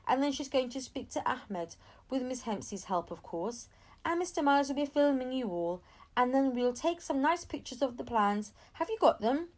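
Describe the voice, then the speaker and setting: Woman voicing a brisk, high-toned school head. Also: lively intonation, a little mellow. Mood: brisk, organising.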